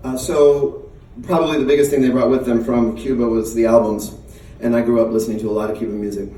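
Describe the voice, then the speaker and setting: A man's voice: medium pitch, little pitch movement, fast, dark tone. Middle aged guy chatting onstage between songs, lots of ums and laughs, echoey room, mic kinda far off. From United States. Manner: chatty, laughing often, hesitant with many fillers. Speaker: singer and performer. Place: live venue on stage. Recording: roomy live sound from a distance.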